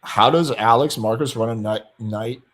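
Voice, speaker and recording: A man with a deep voice giving blunt, profane dating advice in a casual panel chat, reading out viewer questions. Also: normal speed.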